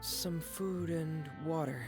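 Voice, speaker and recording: A woman voicing a shy, withdrawn teenage boy in an anime game, halting and timid. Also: deep voice, over music. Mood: reticent.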